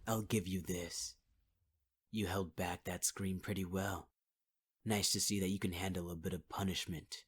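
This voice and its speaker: A man's voice: low-pitched, steady tone, unhurried, a little hiss or hum. A man performing a menacing, taunting villain in an ASMR audio roleplay, often half-whispering. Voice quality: husky, half-whispered delivery.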